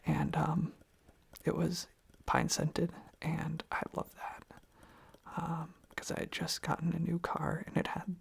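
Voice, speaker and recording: Someone rambling softly in a breathy, husky ASMR whisper close to the microphone, casually repeating little phrases. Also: medium pitch, monotone, slow pace. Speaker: ASMR video creator.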